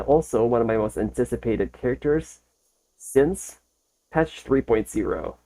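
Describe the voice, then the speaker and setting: A man's voice: mid-range voice, dark tone, rough recording. Casual, enthusiastic man reacting to videos. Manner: informal, enthusiastic, slangy.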